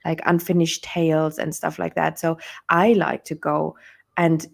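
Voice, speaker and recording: A young woman with a German accent, a guest chatting over a video call, hesitant with many ums and quick laughs. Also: deep voice, flat delivery, creaky. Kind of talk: casual interview chat.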